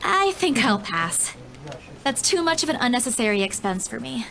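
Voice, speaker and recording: High, lively young heroine. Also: female voice, normal speed, gravelly, full character voice. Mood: casual. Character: modest, friendly young female estate agent heroine. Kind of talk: visual novel game voice acting.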